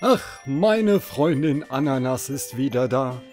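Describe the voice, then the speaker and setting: A man's voice: medium pitch, animated, slow, a little mellow, soft background music. A man voicing a friendly, modest old man in the moon in a children's audio story, genial and theatrical.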